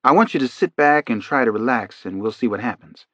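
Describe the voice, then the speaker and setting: A man voicing a calm, authoritative male doctor who hypnotizes in a quiet, soothing audiobook voice. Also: medium pitch, a little fast, no room sound, lo-fi sound, lightly acted. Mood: soothing, steady.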